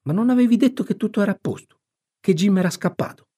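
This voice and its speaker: A man voicing a brash, excitable adventurous boy from a storybook, exclaiming and impulsive. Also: sits high, fast, husky, pitched above the performer's own voice. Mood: indignant, shouting.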